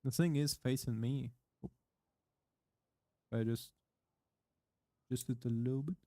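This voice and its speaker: A man streaming from his home setup, reading a product manual aloud haltingly into a close condenser microphone, with many fillers and offhand swearing. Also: medium pitch, steady tone, mellow, dry recording. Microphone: condenser microphone with pop filter, close.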